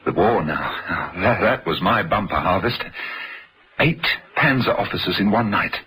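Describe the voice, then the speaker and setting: A man's voice: deep voice, animated, a little slow, pushed and bright, full character voice, low-quality recording. An actor playing a gloating, unhinged male assassin in a radio thriller, menacing and savouring each phrase. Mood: boastful relish.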